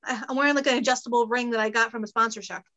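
A pregnant woman in her late twenties chats easily over a home video call. Her voice is bright and sits a little high, and she laughs between quick asides. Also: fairly even intonation. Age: late 20s. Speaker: guest costumer. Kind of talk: casual video-call chat. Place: home room, indoors.

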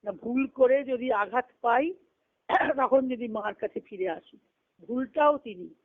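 A woman's voice: a little high, slow pace, no room sound. A woman giving a devotional discourse as a spiritual teacher, reflective and explanatory, posing questions and answering them. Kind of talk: devotional discourse with recited scripture. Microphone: phone or conference call. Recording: narrow, tinny phone conference line.

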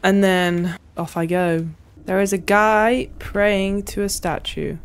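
A woman's voice: medium pitch, fairly even intonation, takes it slowly, clean tone. A young woman giving casual, playful, chatty commentary while she plays a video game. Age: young adult. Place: small indoor room at home.

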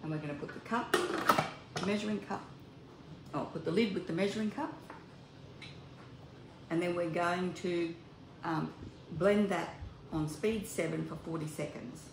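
An older woman with a low voice and a gravelly edge talks viewers through a recipe in her home kitchen. The hard kitchen walls make her sound echoey, and appliance noise runs clearly under her voice. She chats easily as she works, as though showing a friend. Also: a little slow. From Australia. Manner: chatty, talks to viewers while working. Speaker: cooking video host. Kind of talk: home cooking demonstration. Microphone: camera mic at a distance.

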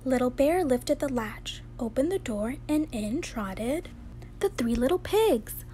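A woman's voice: high-pitched, expressive, takes it slowly, mellow. A young woman reading a children's story aloud expressively, in a home recording. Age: young adult. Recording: home recording with clear background noise.

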